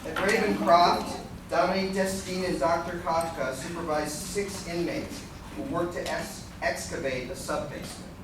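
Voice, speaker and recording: A man's voice: fairly high pitch, fairly even intonation, normal speed. A man narrating a scripted radio play live at an event in a large room. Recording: echoey hall from far off, noisy.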